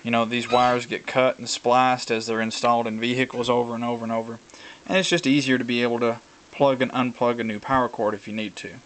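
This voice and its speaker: A man talking casually through a hands-on tutorial, explaining things in a halting way as he thinks aloud. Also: mid-range voice, steady tone, fairly bright tone, slight background noise. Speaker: hobbyist video presenter.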